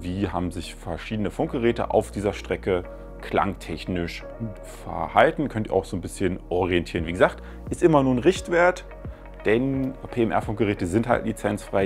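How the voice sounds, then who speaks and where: A man's voice: lowish voice, vocal fry, a little mellow. A man in his thirties casually reviewing gadgets at home, talking in a chatty, explaining way with plenty of fillers. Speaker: tech reviewer. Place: living room at home, indoors.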